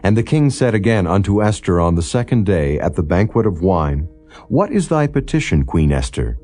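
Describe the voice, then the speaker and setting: A man reading scripture as a solemn, formal audiobook narrator, in a measured and non-dramatized style. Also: deep voice, very animated, mellow. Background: background music.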